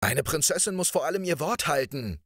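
A man voicing a witty, ugly young prince who pleads emphatically with a high, lively voice. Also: fairly quick, dry recording, studio quality, exaggerated voice. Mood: eager.

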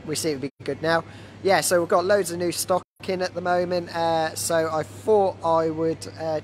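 A man in his thirties with a slightly croaky voice from a cold, casually presenting stock as a dealer on a live walkaround. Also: on the high side. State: has a cold. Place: large indoor motorbike warehouse showroom. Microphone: handheld phone. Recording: noisy handheld phone in warehouse.

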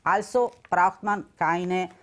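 A woman's voice: fairly low pitch, takes it slowly, a bit bright. A woman in her fifties, an Italian native speaker, answering in a TV studio debate in German, hesitant and often searching for words. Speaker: election candidate. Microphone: lapel mic.

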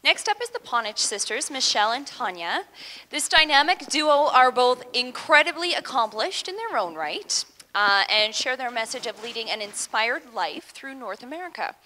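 A woman's voice: a little high, bright, forceful tone. A young woman hosting a live stage event, chatty and upbeat as she fills time between speakers. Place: large auditorium stage. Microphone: handheld microphone.

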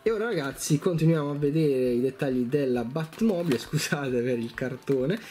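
A man's voice: fairly high pitch, lively intonation, moderate pace, warm tone, soft background music. A man giving a casual, enthusiastic product review, chatting informally to viewers and often repeating himself. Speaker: toy reviewer, video host.

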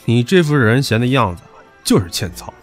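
A man's voice: mid-range voice, sing-song, fairly quick, faint music behind. An actor in an audio drama playing a pushy, teasing young man who talks crudely and with swagger.

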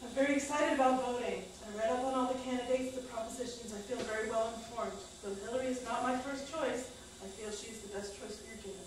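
Higher-voiced woman in an echoey theater. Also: fast talker. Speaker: spoken-word storyteller on stage. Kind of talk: scripted personal monologue read aloud. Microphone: far camera microphone. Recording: echoey theater, distant mic, faint noise.

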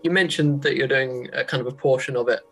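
A man's voice: medium pitch, fairly even intonation, fast, fairly bright tone, breathy edge, faint music behind. A young man asking questions in an informal, hedging way as an interviewer on a video call. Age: young adult. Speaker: interviewer, magazine editor. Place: small room at home, video call.

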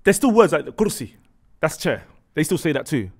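A man whose first language is Arabic, chatting in casual studio banter, animated, laughing often and repeating his points. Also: a little high, a little fast. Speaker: podcast panelist. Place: studio with dark curtains. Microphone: studio mic on desk stand.

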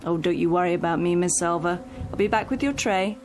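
A woman's voice: lowish voice, fairly quick, music in the background, close to an everyday voice. An actress playing a caring, encouraging woman home helper in a radio drama, coaxing and reassuring.

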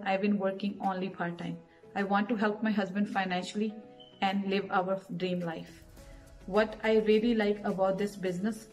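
A woman from Punjab, giving an earnest home-video testimonial about her online business, seated in her living room. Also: mid-range voice. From India, Punjab. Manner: earnest, plain, speaks in short listed phrases. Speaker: part-time school bus driver and online business owner. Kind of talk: promotional testimonial. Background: soft background music.

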